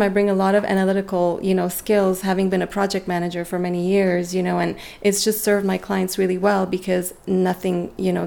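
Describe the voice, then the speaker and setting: A woman in her forties who grew up speaking French answers as an interview guest. Her voice sits at a middle pitch with a creaky edge and stays fairly flat in melody. She speaks in a reflective, earnest, conversational way. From Lebanon and Montreal, Canada. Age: 40s. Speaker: interview guest, real estate agent. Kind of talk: conversational personal interview storytelling.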